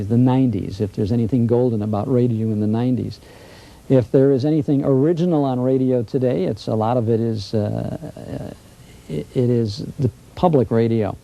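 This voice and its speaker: A man with a very dark, soft-edged voice gives his opinions in an interview. He talks plainly and conversationally, leaning on points by repeating them. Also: mid-range voice, gravelly, low-quality recording.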